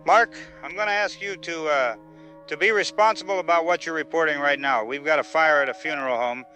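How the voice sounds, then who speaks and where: Sharp-voiced, flustered sheriff, played by a man. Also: fairly high pitch, soft background music. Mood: officious, uneasy. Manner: halting, repetitive, officious. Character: evasive, flustered small-town sheriff holding the official line. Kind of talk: phone interview in audio drama newscast.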